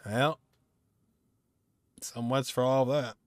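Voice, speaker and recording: A man's voice: mid-range voice, steady tone, slow. A young man in his twenties giving casual, slangy game reactions in short remarks as a streamer. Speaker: gaming streamer. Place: indoor room at a streaming setup. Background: video game music.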